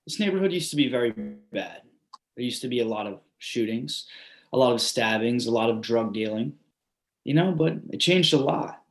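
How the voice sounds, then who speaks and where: A man's voice: medium pitch, animated, normal speed, reverberant, natural voice. A man reading as a lifelong neighborhood resident, talking candidly in a conversational way with "you know" asides, heard over a video call. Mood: earnest. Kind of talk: readers theatre monologue.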